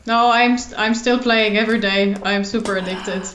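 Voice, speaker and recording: A woman's voice: mid-range voice, steady tone, normal speed, fairly bright tone. Eager woman guest in an echoey room. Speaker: podcast guest. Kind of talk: casual chat. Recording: echoey room, faint noise.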